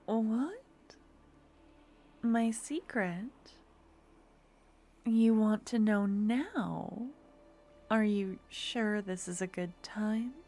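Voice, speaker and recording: A young woman voicing a doting, composed necromancer girlfriend in an ASMR fantasy roleplay, speaking softly and intimately, slipping into whispers close to the mic. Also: medium pitch, drawn-out words, pauses often, a little hiss or hum. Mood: confiding. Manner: soft, intimate, drifting in and out of whispers. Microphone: close ASMR microphone.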